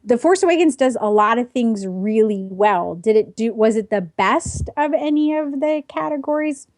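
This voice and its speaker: Middle-aged woman, measured podcast co-host. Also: fairly high pitch, animated, a little mellow, dry recording, studio quality. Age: 40s. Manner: measured, reasoning, explanatory. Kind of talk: casual pop-culture podcast chat.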